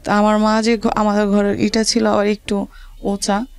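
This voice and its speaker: A woman with a rural Bangladeshi accent, telling her life story to an interviewer in halting, repetitive dialect. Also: medium pitch, completely flat delivery, clean tone, dry recording.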